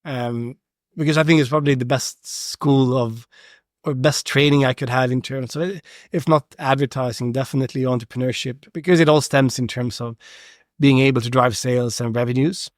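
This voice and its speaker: A man's voice: mid-range voice, steady tone, moderate pace, dry recording. A man from Sweden speaking casually as an interview guest in a studio, feeling his way through phrases in short pieces with repeated words. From Stockholm, Sweden. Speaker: podcast guest, agency founder. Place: podcast studio.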